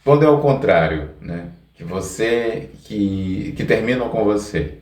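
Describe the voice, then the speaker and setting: Distant, echoey, lowish-voiced Brazilian man. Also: lively intonation, a little slow, a little mellow. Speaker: interviewer, podcast host. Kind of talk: casual interview chat.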